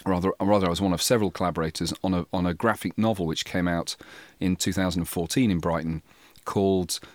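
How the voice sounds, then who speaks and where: A man's voice: deep voice, steady tone, fast, dry recording. A man hosting a podcast in a casual, bantering way as he introduces things. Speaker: podcast host.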